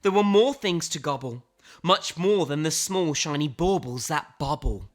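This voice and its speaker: A woman reading a children's picture book aloud in a theatrical way, with dramatic emphasis and suspenseful pauses. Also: low-pitched, lively intonation. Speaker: storybook reader.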